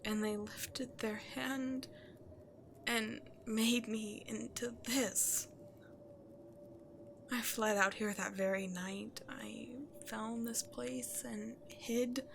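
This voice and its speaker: A woman acting as a cursed princess turned horned beast, full of shame, in an audio roleplay. The voice sits fairly high and cracks with creak. It confesses haltingly, often sinking into hushed near-whispers, over a faintly noisy recording. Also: steady tone, exaggerated voice. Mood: bitter, regretful. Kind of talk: dramatic ASMR roleplay monologue to the listener.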